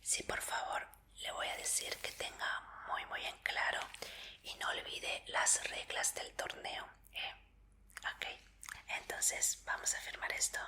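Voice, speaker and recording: A woman in an ASMR roleplay as a medical examiner, speaking in a breathy whisper with a gentle, polite, reassuring manner. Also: unhurried.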